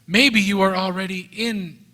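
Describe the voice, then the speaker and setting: A man teaching a Bible class in English, speaking earnestly in short phrases and pausing so an interpreter can translate. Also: high voice, steady tone, sharp tone. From United States. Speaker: guest teacher. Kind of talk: lecture given through an interpreter. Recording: reverberant hall.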